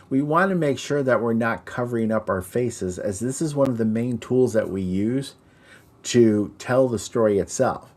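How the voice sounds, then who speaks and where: A man with a fairly low voice co-hosts a talk on performance technique. He explains each point deliberately and addresses the listener directly, like an instructor. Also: warm tone. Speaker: podcast co-host and Christmas performer. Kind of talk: instructional discussion on storytelling.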